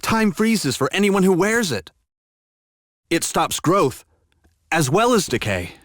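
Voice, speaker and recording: A man's voice: sits high, big pitch swings, slow pace, a bit bright, no room sound, studio quality, heavily acted. A man voicing an impulsive but caring young half-elf adventurer in a video game cutscene. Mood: determined.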